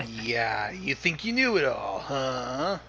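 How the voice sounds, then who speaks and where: A man co-hosting a livestream with casual commentary in a halting, self-correcting way. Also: medium pitch, sing-song, creaky, sharp tone. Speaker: livestream co-host. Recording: muffled, noisy computer mic.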